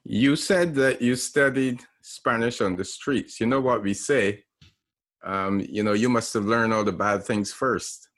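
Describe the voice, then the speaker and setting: A man from Barbados co-hosting a casual interview, relaxed and jokey. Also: mid-range voice, unhurried. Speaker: podcast co-host.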